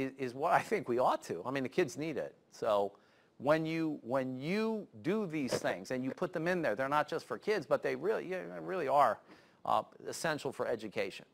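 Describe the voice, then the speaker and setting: A middle-aged man closing a lecture in a university classroom, talking with many stammered restarts and quick asides. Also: a little high, fairly bright tone. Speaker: guest lecturer. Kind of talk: lecture wrap-up.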